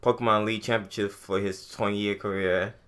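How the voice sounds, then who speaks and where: A man's voice: on the low side, steady tone, fairly quick, fairly bright tone. A man co-hosting a podcast in casual chat, with slangy, profane banter. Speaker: podcast co-host.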